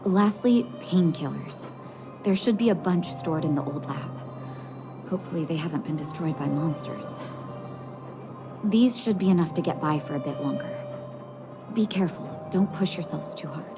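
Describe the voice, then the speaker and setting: A woman voicing a gentle, composed doctor in a video game, speaking in a soothing, measured way. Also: deep voice, expressive. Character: gentle, composed female doctor caring for an underground town. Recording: game music behind the voice. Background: music.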